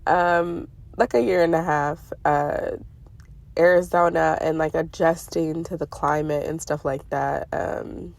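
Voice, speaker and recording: A woman's voice: low voice, fairly even intonation, measured pace, gravelly, darkish tone, dry recording. A middle-aged woman with a congested voice, chatting in a rambling way with lots of fillers about her dreams, talking from a parked car. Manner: chatty, meandering, many fillers and self-corrections. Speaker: spiritual vlogger sharing dreams. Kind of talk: casual rambling monologue.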